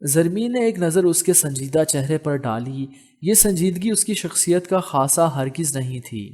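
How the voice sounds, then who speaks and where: A man narrating a scripted romance novel as an audiobook, in a measured, literary storytelling manner. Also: fairly high pitch, lively intonation, darkish tone.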